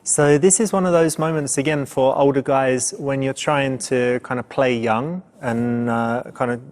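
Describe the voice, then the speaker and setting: A man's voice: mid-range voice, steady tone, measured pace, darkish tone. A man working as a dating coach, commenting casually and conversationally with practical advice.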